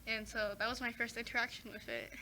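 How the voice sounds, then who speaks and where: Teen girl guest, high and bright, chatty, lots of fillers, faint noise in the background. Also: level tone, fast talker, low-quality recording. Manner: chatty, many fillers and restarts. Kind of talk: casual chat.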